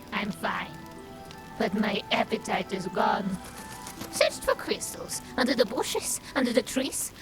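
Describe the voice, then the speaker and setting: A woman voicing a childlike, hungry, bloodthirsty little trickster creature in a fantasy drama, speaking in halting short phrases. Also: fairly high pitch, low-quality recording. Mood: glum. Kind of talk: cinematic fantasy voice acting.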